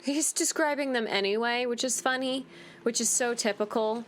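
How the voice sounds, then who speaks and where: Wry woman with a highish voice, gaming commentary. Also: normal speed, slight background noise. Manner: wry, irreverent, teasing. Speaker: gaming video host. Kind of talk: casual game playthrough commentary.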